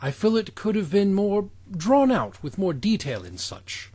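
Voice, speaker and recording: A man's voice: high-pitched, big pitch swings, measured pace, warm tone, slightly rough. A man co-hosting a comedy podcast, reading reviews aloud and joking around with lots of laughter and swearing. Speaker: podcast co-host.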